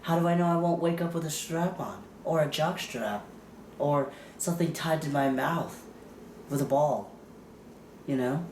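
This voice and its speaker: A man's voice: a little high, a little mellow, a little hiss or hum, close to an everyday voice. A man playing a suspicious, wealthy client in an improvised comedy sketch, talking in a campy, theatrical way and calling people "darling". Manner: campy, drawn-out "darling" endings. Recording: roomy echo.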